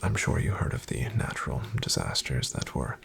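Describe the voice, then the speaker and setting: A man playing a polite, reassuring financial advisor in an ASMR roleplay, in a breathy half-whisper with gravelly fry and a slow, soothing, formal delivery. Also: rumbling low, sing-song, fairly bright tone, full character voice. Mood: measured, reassuring. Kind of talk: ASMR, soft-spoken roleplay.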